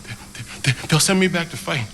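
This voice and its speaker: A cowed slave labourer in a video game. His voice is high and swings up and down as he stammers, hesitant and submissive, with faint noise behind. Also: expressive, a little fast, fairly bright tone. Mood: desperate, pleading. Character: frightened, submissive, meek enslaved labourer, man. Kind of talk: video game cutscene acting.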